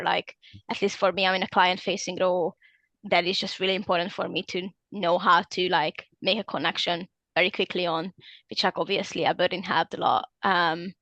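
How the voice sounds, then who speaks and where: A woman's voice: on the low side, a bit bright, no echo. Hesitant young woman, dry sound. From Hungary. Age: 20s. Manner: hesitant, many ums and likes, self-correcting. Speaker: alumna interviewee. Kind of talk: casual interview answers.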